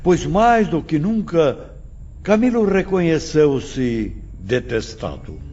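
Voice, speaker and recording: A man's voice: on the high side, big pitch swings, slow pace, warm tone, a little hiss or hum. An actor playing a grave spiritual teacher, solemn and didactic as he lectures pupils in an audio drama. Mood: solemn warning. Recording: dull lo-fi, music behind.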